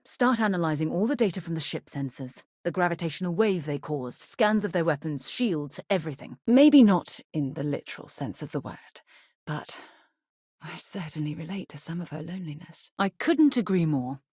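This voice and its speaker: A woman's voice: low-pitched, expressive, moderate pace, darkish tone, slightly rough, no echo, studio quality. A woman playing an earnest, principled space explorer and leader in a video game, speaking in an articulate, composed way with formal phrasing. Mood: warm, conversational. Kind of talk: video game character voice acting.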